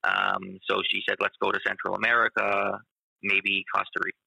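A male teacher talking in a casual conversation, halting and full of fillers. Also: sits low, flat delivery, vocal fry, sharp tone, husky, no room sound, low-quality recording. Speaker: teacher and guest.

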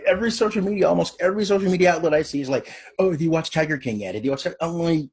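A man's voice: on the high side, racing, darkish tone, breathy edge. A man hosting a podcast and interviewing in a casual, conversational style.

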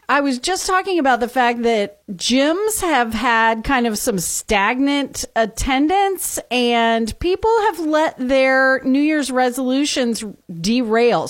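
A woman's voice: high-pitched, dry recording, clean studio sound. A woman hosting a morning radio show, friendly and chatty as she interviews a guest, with the odd um and restart. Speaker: radio host. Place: radio studio.

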